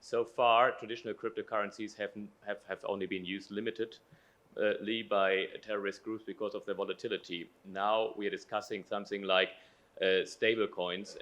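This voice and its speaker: Low, even-toned man. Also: moderate pace. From Germany. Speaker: audience questioner. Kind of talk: formal question to a panel.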